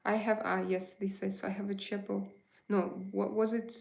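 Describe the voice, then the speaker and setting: A woman's voice: medium pitch, flat delivery, moderate pace, gravelly, a little mellow, echoey room. A woman with a Russian accent and Russian as her first language, hosting a casual livestream, chatting with viewers and answering their messages with many hesitant fillers. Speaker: livestream host.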